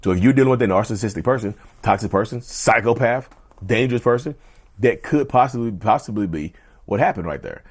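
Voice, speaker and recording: A man hosting a casual mental health vlog, talking straight to viewers in a loose, repetitive way, his voice going a little hoarse. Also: lowish voice, animated, brisk. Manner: conversational, repeats himself, often addresses viewers as "y'all". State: voice getting hoarse. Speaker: mental health video host. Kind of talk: casual direct-to-camera talk.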